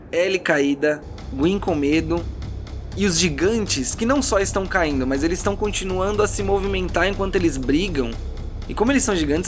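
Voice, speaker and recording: Higher-voiced Brazilian man narrating dramatically. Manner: dramatic storytelling with informal asides. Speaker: game master. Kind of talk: narrating a tabletop fantasy role-playing game. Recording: music bed behind the voice.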